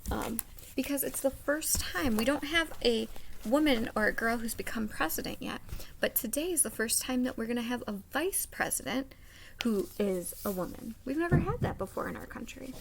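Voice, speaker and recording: A woman with a fairly high voice that often turns creaky reads a storybook to children as a teacher would. She leans on key words and pauses for drama, over faint background noise. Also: lively intonation. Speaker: teacher reading a picture book aloud to children. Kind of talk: animated storybook read-aloud with simple asides to young children.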